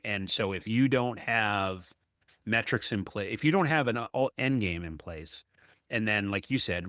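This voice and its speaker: A man with a fairly deep voice hosts a business podcast. He speaks conversationally and emphatically, telling things through anecdote and tagging points with a questioning "right?". The sound is very dry, with no room to it. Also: pushed and bright, no echo. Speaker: podcast host, apartment investor.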